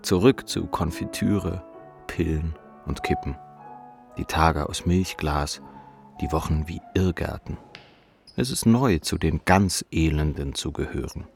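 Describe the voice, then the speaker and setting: A bleak sardonic guy narrating his depression, really deep creaky voice, radio play with music behind. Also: measured pace, full character voice. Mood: bleak despair. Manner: introspective, clipped fragments. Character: despairing male narrator living through depression, weary, bitterly sardonic. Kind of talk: literary monologue in a radio play.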